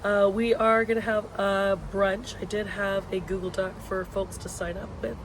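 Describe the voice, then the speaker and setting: Hoarse woman vlogging over loud outdoor noise. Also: medium pitch, level tone, moderate pace. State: hoarse, sick with a lost voice. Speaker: university education instructor hosting a video blog. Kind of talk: informal course announcements to students. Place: outdoors on a patio.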